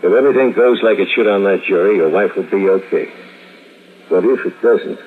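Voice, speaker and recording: Man acting low-voiced, coolly menacing radio gangster. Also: a little fast, lightly acted. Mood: calm threat. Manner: calm, slangy, coolly threatening. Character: cool, menacing male gangster enforcer, matter-of-fact. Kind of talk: 1950s radio drama acting. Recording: muffled, noisy 1950s radio recording.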